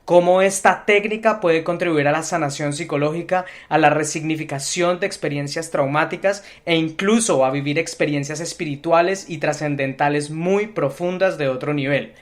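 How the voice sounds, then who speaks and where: Higher-voiced man hosting a podcast. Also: normal speed, hardly any pauses. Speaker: podcast host and interviewer. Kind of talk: scripted introduction, then conversational interviewing.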